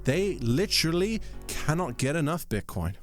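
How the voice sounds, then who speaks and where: A man from London with a mixed English, Irish and American accent, presenting scripted vlog commentary with rhetorical questions and deliberate pauses. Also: on the high side, big pitch swings, loud music behind the voice. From London, England. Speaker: host of a crypto video channel.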